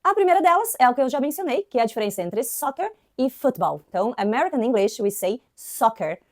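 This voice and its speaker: Chatty Brazilian woman teacher, bright voice. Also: a little high, quick pace, no echo, clean studio sound. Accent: Santa Catarina inland Brazilian Portuguese. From southern Brazil. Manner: chatty, frequent "né" tags, lively emphasis. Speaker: English teacher. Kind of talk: casual lesson to camera.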